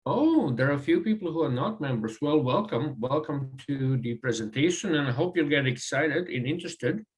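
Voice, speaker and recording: A man from Croatia with a Croatian accent, a guest speaker giving a presentation over a video call, pausing often with ums and uhs. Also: on the high side, animated, rough recording. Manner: halting, with frequent ums and uhs. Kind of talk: online presentation.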